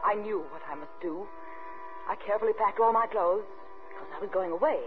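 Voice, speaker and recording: An actress playing an anxious, cold-hearted widow in intense first-person 1950s radio thriller style, on a narrow, muffled recording with music. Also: female voice, mid-range voice, a little fast, close to an everyday voice. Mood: shaken. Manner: dramatic, intense, confessional first-person telling. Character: anxious, cold-hearted widow, outwardly proper, inwardly unravelling.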